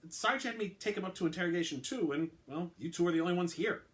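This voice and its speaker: A reluctant uniformed officer who hedges every answer, speaking in a raised, uneasy pitch, as a male reader puts the character on. An apologetic, hesitant delivery. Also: fast, natural voice. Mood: explaining.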